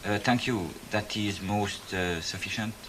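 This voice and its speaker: An actor playing a French visitor with a French accent, speaking halting, formal English and searching for words. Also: male voice, on the low side, noisy background, natural voice. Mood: polite, halting.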